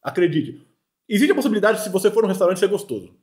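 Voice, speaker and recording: Fast-talking Brazilian man, lively host. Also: sits high, crowd noise. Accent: Brazilian Portuguese. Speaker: food-tasting show host. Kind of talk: casual banter while tasting food. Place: small studio at a table. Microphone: desk microphone between two speakers.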